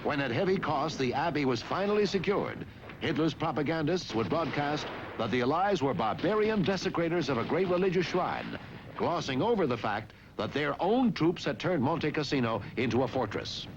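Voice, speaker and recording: A man's voice: a little high, sing-song, moderate pace, dull audio, noisy background. A man reading scripted historical narration for a war documentary.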